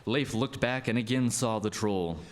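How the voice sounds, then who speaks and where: A man's voice: mid-range voice, moderate pace. A man narrating a scripted folk tale as a storyteller.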